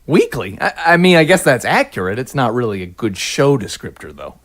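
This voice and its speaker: A man plays along as a podcast co-host in scripted comic promo banter. His pitch moves around in a very animated way. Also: fairly quick.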